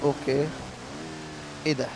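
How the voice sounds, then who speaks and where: A man chatting casually and excitably while gaming, with slang and swearing. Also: on the high side, creaky, over music, low-quality recording. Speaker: player in an online roleplay game. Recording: loud car engine noise throughout. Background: game car engines.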